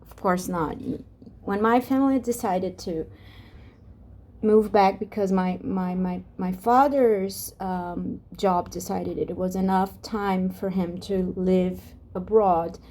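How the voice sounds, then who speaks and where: A Brazilian woman whose first language is Portuguese speaks very slowly in a mellow, soft tone. She rambles gently through a personal vlog, stopping often, restarting sentences and filling pauses. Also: medium pitch. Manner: halting, many restarts and fillers, drifts off topic. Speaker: ASMR vlogger.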